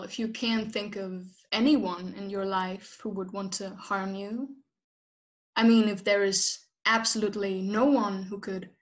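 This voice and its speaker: A young woman playing a dismissive, patronising police officer in a drama, measured and condescending. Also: medium pitch, close to an everyday voice. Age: young adult. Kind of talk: radio-play acting over video call.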